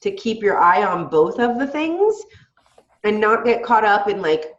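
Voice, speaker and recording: A woman's voice: medium pitch, sing-song, a little slow, roomy sound, highs cut off. A woman with a head cold, sounding congested, coaching her team on a call in a chatty way and often asking "right?". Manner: chatty, asks "right?" often, laughs between points. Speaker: team leader on a group call.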